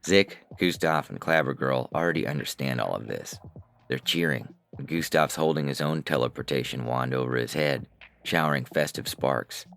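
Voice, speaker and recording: Extremely deep, creaky man narrating fiction. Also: normal speed, fairly bright tone, no room sound, soft background music. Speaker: narrator and reader. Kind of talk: scripted first-person science fiction narration.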